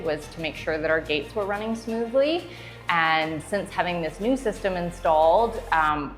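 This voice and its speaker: Woman, slightly low voice, chatty customer testimonial explaining stuff, music playing behind her. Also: fairly bright tone. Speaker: customer giving a testimonial, strata council member. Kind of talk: casual interview testimonial. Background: background music.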